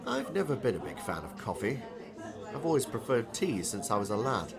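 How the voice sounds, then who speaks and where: A man's voice: on the low side, very animated, brisk, crowd noise. A man playing a genial, eccentric older novelist with a polite, quaint, chatty manner in an audiobook. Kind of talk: audiobook character dialogue.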